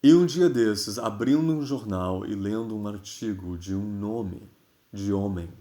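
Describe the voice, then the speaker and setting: A man with a Brazilian accent reads literary prose expressively and deliberately, with dramatic pauses. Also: lowish voice, dark tone. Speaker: reader of a literary newspaper column. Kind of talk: expressive literary reading, scripted.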